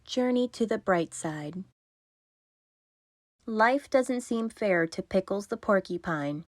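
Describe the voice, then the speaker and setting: A woman narrator reading children's storybooks aloud in an expressive, scripted style. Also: medium pitch, slow pace, dry recording, clean studio sound.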